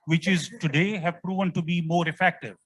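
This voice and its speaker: A man's voice: on the high side, fairly even intonation, fairly quick, a bit bright. A man with a Pakistani accent speaking from the floor as a diplomat, earnest, courteous and formal. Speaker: audience questioner, diplomat.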